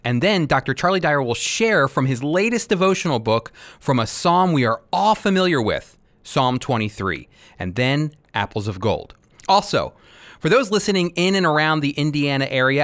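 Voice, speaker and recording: A man hosting a radio program and teaching from the Bible in an earnest, deliberate, emphatic way. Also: medium pitch, a bit bright, no room sound.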